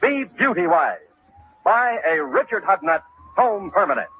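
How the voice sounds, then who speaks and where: A man's voice: high voice, very animated, measured pace, a little hiss or hum. A man announcing from a script in mid-century radio style, formal and emphatic, on a thin old broadcast recording. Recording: thin, narrow-band vintage radio recording.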